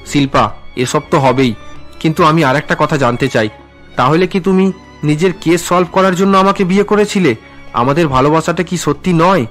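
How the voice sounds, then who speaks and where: A man's voice: a little high, moderate pace, fairly bright tone, soft background music, natural voice. A man playing an earnest, wounded young husband and son in a cartoon drama, with dramatic questioning delivery. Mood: earnest, hurt.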